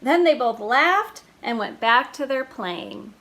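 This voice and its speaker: A woman storyteller reading a children's picture book aloud in a slow, deliberate way. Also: a little high, animated, fairly bright tone.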